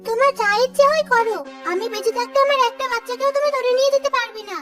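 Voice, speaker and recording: A very high, childlike cartoon mother bird, with music playing prominently behind. The little creature is crafty and bold, stubbornly standing up for itself. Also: fast, a bit bright. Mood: defiant. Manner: sly and defiant.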